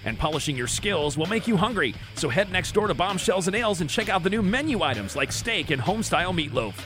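A man with a high, very animated voice reads a promotional radio spot. Faint music sits underneath. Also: quick pace. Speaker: commercial voice-over.

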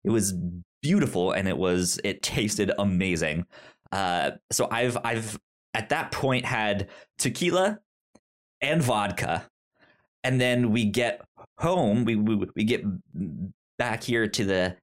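A man's voice: lowish voice, slow pace. A young man hosting a podcast, telling a story in casual chat and often stammering over repeated syllables and words. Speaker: podcast host.

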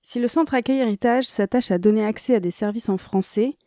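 A woman radio reporter narrating a scripted news report in an even, composed reading. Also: on the high side, fairly quick, dry recording, clean studio sound.

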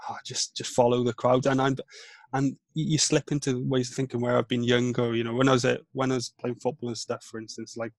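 A man's voice: medium pitch, flat delivery, no room sound. A Welsh man in his thirties, a podcast guest talking in a reflective way with many restarts. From Wales. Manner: reflective, halting with frequent restarts. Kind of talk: casual interview chat.

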